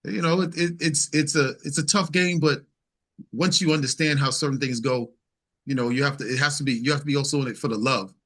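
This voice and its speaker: A man's voice: mid-range voice, fairly even intonation, fairly quick, fairly bright tone. A man speaking as a singer-songwriter guest in a casual interview, emphatic and repeating phrases as he builds his points.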